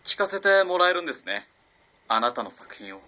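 A man's voice: high-pitched, very animated, a little fast, pushed and bright, heavily acted. A man playing a curious, polite young student in a radio drama. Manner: polite, inquisitive.

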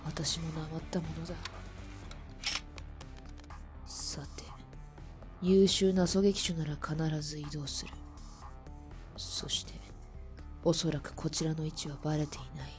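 A woman playing a cold, composed veteran sniper in a radio drama, murmuring in a measured, menacing way. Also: deep voice, steady tone, music in the background, close to an everyday voice. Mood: calm menace.

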